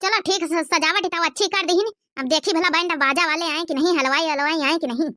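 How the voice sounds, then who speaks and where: Casting: woman playing scolding cartoon matriarch, Awadhi accent, extremely high sharp voice, bossy and demanding. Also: steady tone, brisk, no room sound, studio quality. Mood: fussing. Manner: bossy, nagging. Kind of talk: cartoon comedy dialogue.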